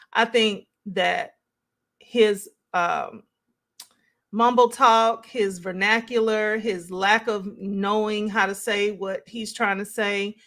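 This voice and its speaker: Woman, live stream host, unhurried pace, mid-range pitch, casual reaction commentary, conversational, musing. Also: a bit bright. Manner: conversational, musing, thinking aloud.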